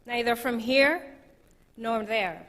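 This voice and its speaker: Measured woman moderator in a reverberant hall. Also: a little high, fairly bright tone. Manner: measured, deliberate questioning. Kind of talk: reading panel questions.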